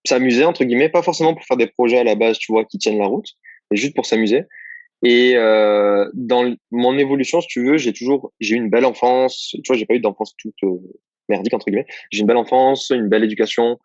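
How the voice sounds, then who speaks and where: A man's voice: mid-range voice, a little fast, darkish tone, no echo, dull audio. A young man from southern France, answering interview questions about his work as a copywriter in a loose, rambling way, full of fillers and restarts. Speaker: guest, copywriter. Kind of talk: casual interview chat.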